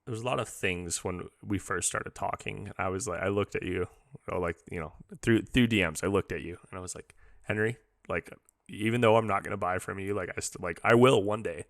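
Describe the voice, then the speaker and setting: Man, podcast host, deep gravelly voice, informal and rambling, casual interview chat. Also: dry recording, studio quality. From born in England, moved to the US as a child. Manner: informal, rambling, halting, says "dude" and "like" a lot.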